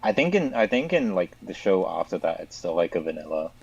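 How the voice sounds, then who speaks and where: Low, husky-voiced man with an animated delivery, hesitant co-commentator on a game stream. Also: brisk, dull audio. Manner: hesitant, many fillers and repeats. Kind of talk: casual stream chat.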